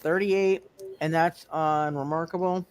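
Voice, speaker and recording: A game master walks a player through the summoning rules. The speech is halting, with frequent restarts, and the pitch sits in a middle range. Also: fairly even intonation, clean tone. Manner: halting, restarts while explaining.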